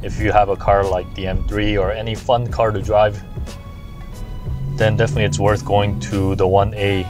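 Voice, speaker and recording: A man's voice: fairly low pitch, little pitch movement, normal speed. A man narrating a travel vlog as a casual, laid-back voice-over. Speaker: vlog host narrating a road trip. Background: music.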